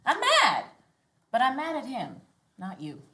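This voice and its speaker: A woman's voice: high voice, wildly swooping pitch, unhurried, bright, forceful tone, heavily acted. An American woman playing a proud, indignant, middle-aged single mother in a live sitcom script reading, earnest and frank. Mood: earnest, hurt.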